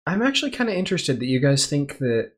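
A man's voice: on the high side, big pitch swings, fast, slightly rough. A man co-hosting a casual podcast who adds thoughtful, slightly corrective remarks. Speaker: podcast co-host.

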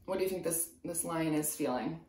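A woman in her twenties reads a picture book aloud as a preschool teacher, pausing to put simple, encouraging questions to young children. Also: low voice, warm tone. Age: 20s. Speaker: preschool teacher reading a storybook. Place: small room at home, indoors. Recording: roomy indoor echo.

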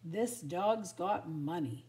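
A scruffy male pound dog from a storybook, acted out by a woman. He is a streetwise tease with slangy wisecracks, dragged out in a slow drawl. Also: lowish voice, lively intonation, warm tone. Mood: teasing.

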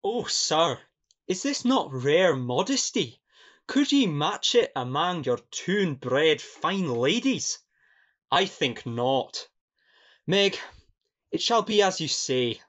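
An actor playing an earnest young Scottish peasant lad in love, sentimental and declamatory, in a farcical radio play. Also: male voice, a little high, big pitch swings, slow, fairly bright tone, lo-fi sound, exaggerated voice. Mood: mournful.

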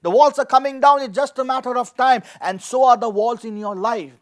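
A man's voice: very high voice, a little fast. A middle-aged man preaching a sermon as a pastor, emphatic, repeating key phrases and asking rhetorical questions. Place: indoor studio with dark backdrop, at a lectern. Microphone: handheld microphone.

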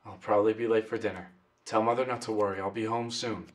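A man's voice: lowish voice, level tone, fast talker. A man playing a dutiful son leaving a voicemail for his father in an audio drama. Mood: reassuring, uneasy. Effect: telephone voicemail. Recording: very noisy, distant phone voicemail.

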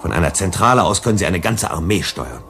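Deep, earnest, lecturing psychologist. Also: male voice, big pitch swings, quick pace, a bit bright, a little hiss or hum, exaggerated voice. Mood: sardonic lecturing. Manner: emphatic, didactic. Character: principled, cautious male psychologist, earnest and lecturing. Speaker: radio drama actor. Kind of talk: German sci-fi radio play acting.

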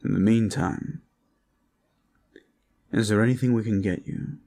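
A man performing an intimate audio roleplay as a protector, speaking in a reassuring, courteous, measured way. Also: fairly low pitch, steady tone, gravelly, dark tone. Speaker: roleplay voice actor, playing a gentle protector.

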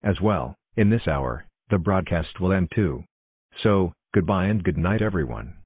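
Low-voiced man announcing in a roomy space. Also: animated, a little slow, breathy edge, narrow, tinny audio. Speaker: TV continuity announcer and newsreader. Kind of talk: scripted TV announcement.

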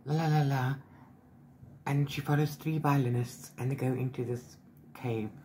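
A middle-aged man with a medium-pitched voice talks casually to the camera as a book vlogger. He rambles through his retelling in an animated way, tossing in little filler syllables as he goes. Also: moderate pace, a little hiss or hum, low-quality recording. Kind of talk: casual chat recapping a book. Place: small book-lined room at home.

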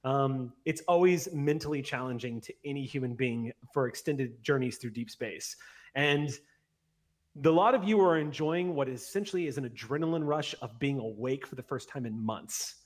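A man of about forty runs a tabletop roleplay session. He narrates in a measured, deliberate manner with a mid-range voice, speaking close to a desk microphone. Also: mid-range voice. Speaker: game master. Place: indoor room at home, on a video call. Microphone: close desk microphone on an arm.

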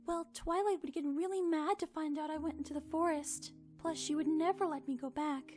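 Here is a gentle young pony princess from an animated drama, acted by a woman. Her voice is extremely high, and she speaks politely and hesitantly, earnest and curious. Music plays underneath. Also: fairly quick, natural voice. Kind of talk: animated fan drama acting.

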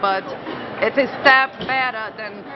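Woman volleyball coach talking after a match, earnest, bit phrasing, loud crowd and noise around, dull lo-fi sound. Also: a little high. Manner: earnest, measured, slightly non-native phrasing. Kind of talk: postmatch press interview. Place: college gym after a match. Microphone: handheld camera close up.

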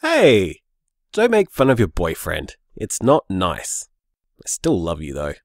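A man's voice: low voice, wildly swooping pitch, slow, no room sound, clean studio sound, heavily acted. A man playing an easygoing, sceptical young boyfriend in a naturalistic audio drama, conversational and hesitant. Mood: playful, fond. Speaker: podcast drama actor.

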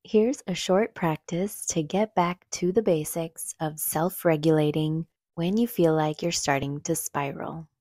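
A woman leading a guided meditation in a soothing, gentle, unhurried manner, with soft breathy phrase endings. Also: sits low, fairly even intonation, no room sound, clean studio sound. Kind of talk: calm guided meditation narration.

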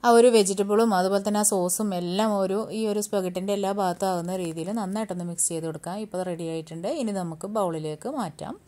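A woman narrating a home cooking tutorial at a rapid clip, her voice sitting at an ordinary middle pitch. She explains each step plainly and briskly, like a host talking her viewers through the recipe, with English words woven into her speech. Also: steady tone, dark tone.

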